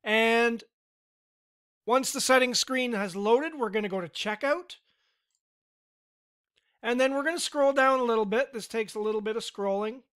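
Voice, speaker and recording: A man giving a relaxed, step-by-step screen-recorded software tutorial with casual fillers. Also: extremely high pitch, normal speed, long pauses, clear, resonant tone. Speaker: tutorial instructor.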